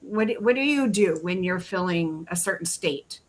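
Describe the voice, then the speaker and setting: A woman's voice: lowish voice, animated, moderate pace. A woman hosting a podcast, talking earnestly and rambling a little as she searches for words. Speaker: podcast host. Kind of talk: casual podcast chat.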